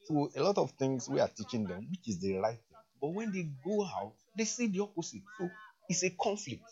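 A man, a deputy head of school, answering interview questions slowly with many fillers. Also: fairly high pitch, big pitch swings, darkish tone. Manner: slow, halting, with many fillers. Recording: dull lo-fi sound.

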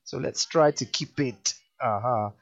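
A man's voice: mid-range voice, a little slow, lo-fi sound. A man with a Nigerian accent hosting a radio show in casual on-air chat. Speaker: radio host.

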